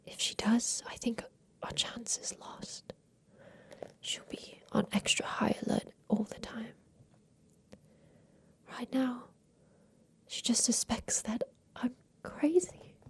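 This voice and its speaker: A woman playing a doting, eccentric mansion inventor in a soft, deliberate ASMR roleplay with formal, old-fashioned phrasing. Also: a little high. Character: doting eccentric mansion inventor, plotting against her captor.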